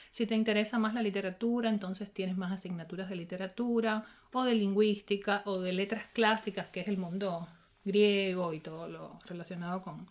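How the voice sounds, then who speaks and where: A Cuban woman in her forties answers interview questions in a middle pitch. She speaks as a professor would, thoughtful and unhurried, with many small fillers, and she laughs easily. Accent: Cuban Spanish. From Cuba. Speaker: linguistics professor and researcher.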